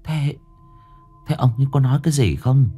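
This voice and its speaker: Frightened village widow, put on by a male narrator, high and pleading, swooping pitch. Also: lively intonation, moderate pace, warm tone, slightly rough, soft background music. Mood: anxious. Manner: pleading, shrill with shame.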